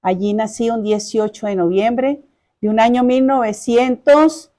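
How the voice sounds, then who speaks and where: A woman's voice: a little high, fairly even intonation, measured pace, dark tone. A middle-aged woman with a Caracas Venezuelan accent, telling her life story informally as an interview guest, chatty and courteous, often repeating her phrases.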